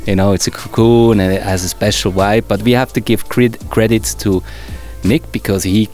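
A man's voice: lowish voice, steady tone, normal speed, darkish tone, faint music behind. A man who is a DJ and bar co-owner, speaking as a guest in a friendly and slightly hesitant way. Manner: friendly, hesitant, with fillers and restarts. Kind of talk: interview conversation.

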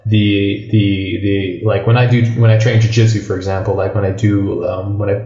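A man's voice: lowish voice, little pitch movement, moderate pace, warm tone, reverberant, highs cut off. Rambling man from Hawaii, casual podcast talk. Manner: rambling, loose, many fillers and restarts. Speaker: podcast host. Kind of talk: casual chat.

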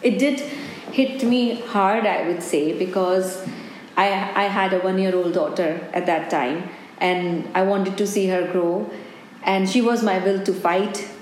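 A businesswoman from India, with an Indian accent and a middle-pitched voice, answers an interviewer earnestly and thoughtfully. The room sounds roomy, with a faint hum of ambience behind her. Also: steady tone, slow, a little mellow. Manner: earnest, reflective, with frequent "you know". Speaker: business owner and guest.

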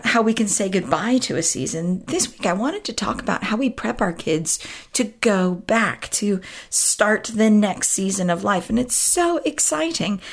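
A woman hosting a podcast with a croaky voice, talking in a chatty, self-interrupting way and laughing at herself. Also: fairly high pitch. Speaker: podcast host. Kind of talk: casual solo podcast talk.

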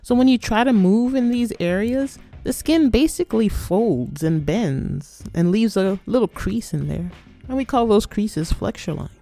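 Podcast host reading a chatty playful explainer, soft mellow tone, kinda gravelly fry, bit of music under it. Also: mid-range voice, lively intonation, unhurried. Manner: conversational, playful. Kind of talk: scripted educational narration.

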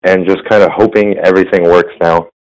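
A slightly hoarse man hosting a casual tech podcast over a phone line, rambling with fillers and restarts. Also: deep voice, steady tone, brisk. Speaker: podcast host. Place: business center. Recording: phone-quality audio.

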